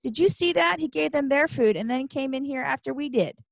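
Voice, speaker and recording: A woman's voice: sits high, fairly quick, gravelly, no echo, voice pushed up. A woman voicing a brash, melodramatic seventh-grade boy who gripes theatrically in slangy audiobook dialogue. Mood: aggrieved, theatrical.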